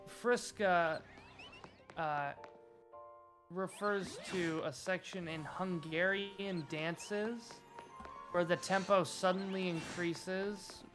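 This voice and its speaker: Slow-talking American man, deliberate. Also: high-pitched, fairly even intonation, music in the background. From United States. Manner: deliberate enunciation, frequent "like" fillers. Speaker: streamer host. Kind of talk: casual chat while gaming.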